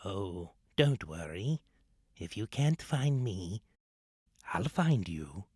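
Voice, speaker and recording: A sinister snake in an audio drama, husky and breathy, with a slow, teasing delivery. The pitch swoops widely, and the sound is close and dry. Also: very animated, darkish tone, no echo, heavily acted. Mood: sly, menacing. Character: sly, menacing snake who prefers solitude.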